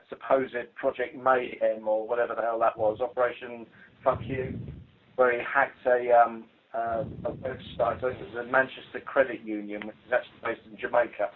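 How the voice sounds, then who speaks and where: A man's voice: medium pitch, a little hiss or hum. A British man giving an informal work briefing as a police investigator over a telephone line, speaking in a dry, blunt, hesitant way. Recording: muffled phone conference call recording.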